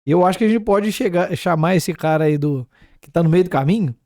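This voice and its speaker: A Brazilian man with a Brazilian Portuguese accent hosts a business podcast, talking quickly in a mellow tone. He picks up his guest's points and backs them with practical examples. His pitch sits a little high, and the sound is dry. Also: no room sound.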